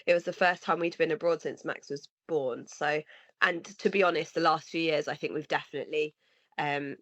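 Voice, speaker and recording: A woman's voice: deep voice, fairly even intonation, normal speed, fairly bright tone, no room sound. Sniffly woman with a cold. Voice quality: slightly nasal and husky. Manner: earnest, rambling with restarts. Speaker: podcast co-host. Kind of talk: casual conversation. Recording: dry, slightly dull lo-fi sound.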